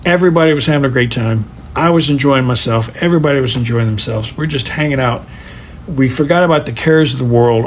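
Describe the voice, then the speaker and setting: Halting man in a faintly noisy voice message. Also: medium pitch. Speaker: fan contributing a voice message. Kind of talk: casual rambling personal recollection.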